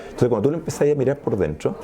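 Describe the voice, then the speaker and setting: A man's voice: mid-range voice, very animated, racing, warm tone. A Chilean man speaking as a sociologist guest in an interview, talking in a discursive, analytical way and often restarting his sentences. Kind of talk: interview conversation.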